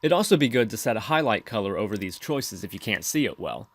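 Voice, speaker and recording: A man's voice: lowish voice, very animated, fast talker, dry recording. Man narrating a tutorial, close and dry. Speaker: tutorial host. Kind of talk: scripted software tutorial narration.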